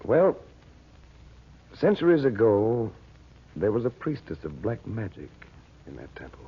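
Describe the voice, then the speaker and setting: On a narrow, muffled vintage radio recording, a grave and resolute husband. His lowish voice is husky. He speaks solemnly and insistently, like a man who knows dark secrets. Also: huge pitch swings, measured pace, full character voice. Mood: grave, ominous. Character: grave, resolute husband versed in occult evil. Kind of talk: 1940s radio drama acting.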